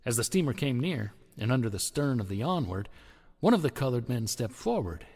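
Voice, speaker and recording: A man's voice: medium pitch, very animated, fairly quick, darkish tone, slightly rough. A man voicing a Civil War sailor who gives a formal, old-fashioned eyewitness account as a dramatic reading. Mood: recounting, wondering. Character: Civil War sailor recounting what he witnessed. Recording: long reverb on the voice.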